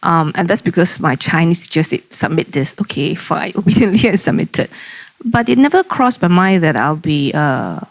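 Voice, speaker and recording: An older woman, a poet talking on a festival panel in a large hall, chatty and animated, with frequent ums and restarts, into a handheld mic. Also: lowish voice, moderate pace, gravelly. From Singapore. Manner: chatty, animated, many ums and self-corrections. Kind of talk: panel conversation. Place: large bright public hall indoors. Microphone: handheld microphone.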